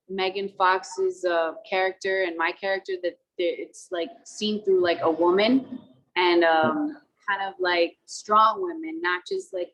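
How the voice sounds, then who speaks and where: A woman's voice: fairly low pitch, fairly bright tone, rough recording. A woman in her thirties, from the Dominican Republic, talking casually over a video call about her acting work, mixing Spanish and English with many fillers. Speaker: actress interviewed about a film.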